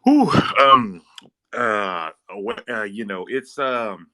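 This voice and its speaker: A man's voice: lowish voice, takes it slowly, sharp tone. A man, chatting casually as co-host, hesitant and full of fillers. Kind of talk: casual chat.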